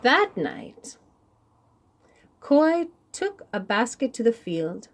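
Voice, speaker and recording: Female children's narrator, deliberate and unhurried, with lively intonation for picture-book read-alouds. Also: on the high side. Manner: animated, deliberate, with sound words. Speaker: children's storybook reader. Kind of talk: expressive read-aloud storytelling for young children.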